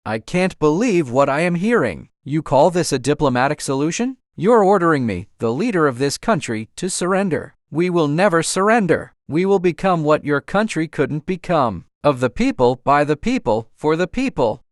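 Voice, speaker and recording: An indignant rebel president, acted by a man, defiant and proud when pressed. His voice sits a little high and moves all over, recorded dry and close. It sounds like an amateur audio drama. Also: expressive, moderate pace, hardly any pauses, no room sound, natural voice. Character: defiant male leader of a breakaway nation. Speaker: separatist president.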